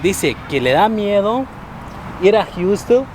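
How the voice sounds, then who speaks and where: A man's voice: high-pitched, sing-song, slow pace, noisy background. A man hosting a casual vlog, mixing Spanish and English and laughing often as he talks. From abroad, came to the US at fifteen. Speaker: vlog host. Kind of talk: casual street interview chat.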